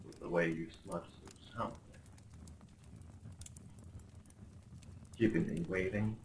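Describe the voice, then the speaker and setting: A man's voice: on the low side, noisy background, lightly acted. A man playing a smug, possessive crown prince in a roleplay audio drama, speaking with formal, condescending politeness and theatrical flair. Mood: smugly reproachful. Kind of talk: roleplay ASMR acting.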